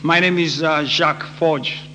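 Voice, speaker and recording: A man from Haiti with a Haitian accent, preaching in short phrases with frequent halting pauses for an interpreter. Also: fairly high pitch, steady tone. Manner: halting, pausing often. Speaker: guest preacher. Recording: noisy echoey hall with hum.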